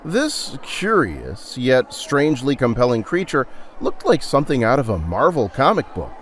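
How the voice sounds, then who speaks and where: A man narrating a dramatic audio story in a theatrical, deliberate and suspenseful way. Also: mid-range voice, huge pitch swings. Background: music.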